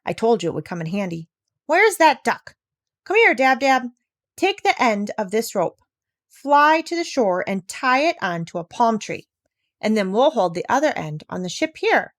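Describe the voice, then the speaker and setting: A woman's voice: a little high, very animated. A woman doing a bossy old parrot hen. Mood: commanding. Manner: brisk and commanding. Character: bossy old female parrot, shrewd and fond of home.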